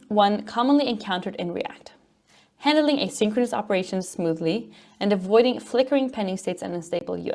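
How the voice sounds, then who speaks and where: A woman's voice: medium pitch, a little mellow. A woman from Norway, presenting a technical conference talk in an upbeat, informal explaining way. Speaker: conference speaker, front-end developer and educator.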